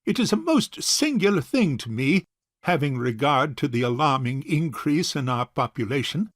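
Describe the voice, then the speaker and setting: An actor playing a fussy, miserly rich old bachelor uncle in a comic farce, speaking in a pompous, hesitant, formal way. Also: male voice, on the high side, very animated, normal speed, no echo, studio quality. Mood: pompous, awkward. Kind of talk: comic stage farce acting.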